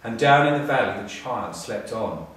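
A middle-aged man narrating a children's storybook in a measured, expressive way with pauses. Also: medium pitch. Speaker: storybook reader, school staff. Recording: echoey room, distant mic.

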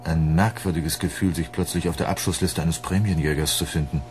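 An actor playing a menacing police inspector in a German radio drama, formal, sardonic and commanding. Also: male voice, sits low, fairly even intonation, fairly quick, slight background noise, natural voice. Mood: sardonic, accusing.

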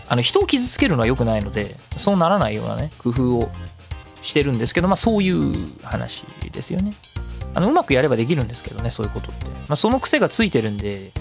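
A man presents a casual web radio show on his own at a quick pace. His pitch swings around in a very animated way as he explains things emphatically, in a chatty style. Music plays behind his voice. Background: background music.

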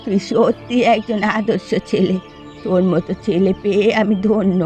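An elderly village mother from a cartoon, acted by a woman, proud and easily wounded. She speaks at a middle pitch for a woman, with music playing underneath. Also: fairly even intonation, normal speed, dark tone, muffled recording, natural voice. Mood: sad, grateful. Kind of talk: Bengali cartoon dialogue.

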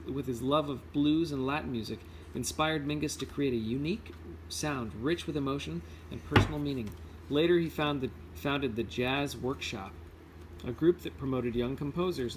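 A man's voice: fairly high pitch, a little hiss or hum, poor audio. A man reading a children's picture book aloud informally, now and then stumbling and correcting himself. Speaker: read-aloud host.

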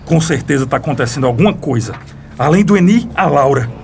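A man's voice: on the high side, lively intonation, a little fast, darkish tone, a little hiss or hum. An actor playing an impatient, agitated man in a Brazilian radio soap opera, urgent and blunt. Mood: agitated, suspicious. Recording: clear music under the voice.

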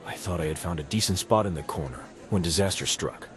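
Visual novel lead: man as anxious, sardonic high schooler, deadpan grumbler, lowish voice. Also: fast, faint music behind, some chatter behind, lightly acted. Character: cynical, anxious, foul-mouthed male high school student. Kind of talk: first-person visual novel narration and dialogue.

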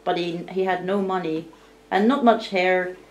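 A woman narrating a storybook tale live for a puppet show, in a deliberate, theatrical storytelling manner. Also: on the low side. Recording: roomy, distant amateur recording.

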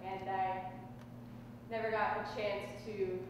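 A young woman performing spoken word poetry on a small stage, speaking deliberately and dramatically with pauses. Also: medium pitch, little pitch movement. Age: young adult. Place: small dark stage indoors. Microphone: distant camera microphone. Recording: echoey hall, distant mic, noisy room tone.

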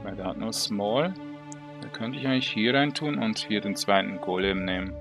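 A young man with a Swiss German accent and a fairly low voice talks loosely over his gameplay, thinking aloud with plenty of small fillers. Game music plays clearly beneath him. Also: normal speed. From Switzerland. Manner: chatty, self-talking, hesitant with fillers. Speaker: gaming video creator. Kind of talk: casual gameplay commentary.

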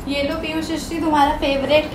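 A woman's voice: high voice, little pitch movement, brisk, close to an everyday voice. A woman playing a kindly, trusting mother of young girls in a family skit, with a caring, approving manner. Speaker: actress. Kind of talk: acted family skit dialogue. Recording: roomy, distant, loud music behind.

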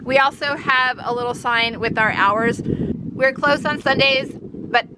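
A woman's voice: high voice, fairly even intonation, measured pace, very noisy. A woman in her thirties chatting upbeat in a casual vlog, filmed outdoors at a rural farm stand on a phone held at arm's length. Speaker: homesteading vlogger.

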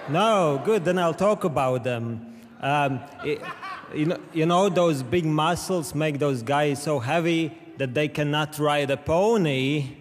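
A man's voice: on the high side, unhurried. Hesitant young man with Latvian accent. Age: young adult. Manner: hesitant, awkward, deadpan. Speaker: amateur stand-up comedian. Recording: loud music and crowd noise behind.

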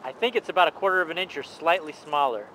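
A man's voice: on the high side, fast, crowd noise, low-quality recording. A man chatting casually as he vlogs in a hotel parking lot, narrating to his camera. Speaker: motovlogger. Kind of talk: casual vlog chat. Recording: outdoor noise on camera audio.

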